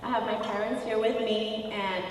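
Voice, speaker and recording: A woman's voice: on the high side, fairly even intonation, moderate pace, a little hiss or hum. A woman giving plain, sincere thanks as a new citizen. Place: large courtroom or hall. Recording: echoey hall, heard from far off.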